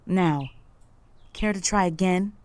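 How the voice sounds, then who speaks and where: Low-voiced, commanding orc chieftain played by a woman. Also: darkish tone. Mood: possessive, exasperated. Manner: authoritative, formal, measured speech-making. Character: possessive, commanding young female orc chieftain, stern, proud. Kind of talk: roleplay audio drama monologue.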